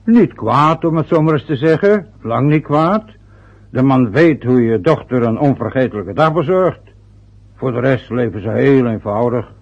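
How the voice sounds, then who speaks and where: A man's voice: mid-range voice, creaky, husky. An actor playing a self-important, boastful older father who grumbles and rambles in a radio drama. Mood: self-satisfied. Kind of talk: radio drama acting, long rambling monologues. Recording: dull, lo-fi old radio play recording.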